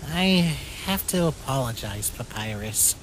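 A man voicing a small, polite, inquisitive talking flower in an animated comic dub. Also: medium pitch, a little slow, slight background noise, natural voice, poor audio. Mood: apologetic, subdued.

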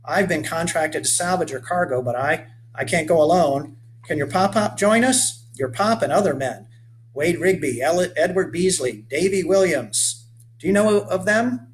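A man's voice: a little high, expressive, moderate pace, a little hiss or hum, voice pushed up, natural voice, poor audio. A man doing a hearty old frontier boatman. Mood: urgent, persuasive. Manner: folksy old-fashioned speech, calls the boy lad and son. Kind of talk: voiced character in a read-aloud story.

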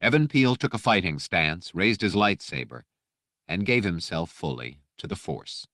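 Very deep-voiced male audiobook narrator. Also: lively intonation, normal speed, a bit bright, no echo, clean studio sound. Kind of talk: scripted dramatic audiobook narration.

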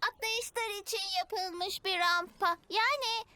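A woman's voice: very high voice, measured pace, background noise. A woman voicing a brainy, enthusiastic young motorbike who explains things precisely in a children's cartoon dub. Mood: impressed, explaining. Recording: loud cartoon music behind.

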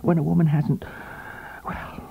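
A woman's voice: low-pitched, little pitch movement, unhurried, creaky, narrow, tinny audio, slight background noise, pitched above the performer's own voice, exaggerated voice. A man voicing a sweet, knowing young mistress in an audiobook story, full of insinuating, cooing concern. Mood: insinuating sympathy. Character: young sweet-voiced mistress, silvery, knowing, falsely sympathetic.

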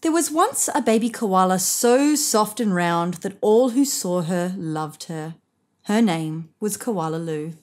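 A woman in her thirties reading a picture book aloud to children in an expressive, measured way. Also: medium pitch. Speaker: storybook reader. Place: indoors, seated on a sofa.

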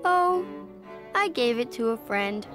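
A woman's voice: a little high, expressive, slow. A woman voicing a cheerful, eager young bear cub with a childlike voice in a children's cartoon. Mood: eager, curious. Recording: music behind the voice.

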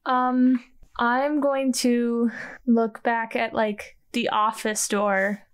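Higher-voiced woman playing a tabletop game. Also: little pitch movement, drawn-out words, smooth. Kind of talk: casual improvised actual-play chat.

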